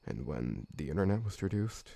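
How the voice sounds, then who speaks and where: Man playing a gravelly, deep-voiced vampire servant. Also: sing-song, fairly quick, mellow, no room sound, full character voice. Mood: earnest, reminiscing. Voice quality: gravelly, husky. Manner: formal, deferential, rambling. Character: polite, loyal old vampire servant, fussy former bookkeeper, male. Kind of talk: ASMR roleplay acting.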